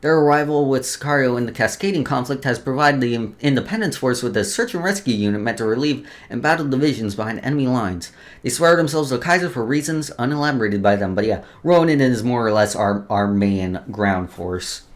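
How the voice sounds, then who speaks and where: A man's voice: medium pitch, brisk. A man hosting a let's play, reading game lore aloud with casual asides and often stumbling over words before restarting.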